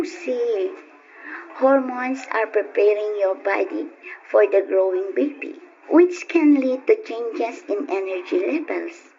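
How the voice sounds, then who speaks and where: An actress playing a professional, friendly female doctor in an old-time radio drama, speaking in a measured, instructive way. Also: medium pitch, dark tone. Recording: dull, noisy old radio recording.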